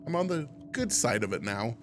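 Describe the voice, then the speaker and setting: A man's voice: mid-range voice, sing-song, normal speed, music in the background. A man getting over a cold, sniffling as he plays a game and talks to himself, yelping at scares. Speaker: gameplay streamer. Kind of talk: casual let's-play commentary. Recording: heavy hiss over the voice.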